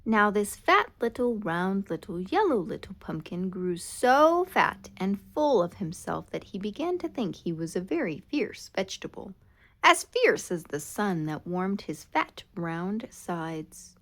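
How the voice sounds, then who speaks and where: A woman reading a children's story aloud in a dramatic, expressive way, drawing out key words. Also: mid-range voice, a little slow. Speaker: storybook reader. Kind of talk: scripted children's storytime narration.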